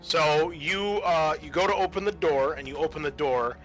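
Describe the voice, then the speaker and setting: A man narrating a tabletop horror game as game master, describing things graphically in a halting, casual way. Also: fairly high pitch, fairly bright tone, soft background music, low-quality recording.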